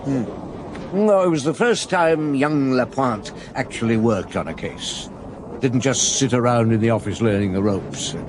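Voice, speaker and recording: A good-humoured Paris police inspector, played by a man in a vintage radio drama. He talks in an easy, relaxed way at a middling pitch and often pauses to search his memory. A steady broadcast hiss runs underneath. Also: close to an everyday voice.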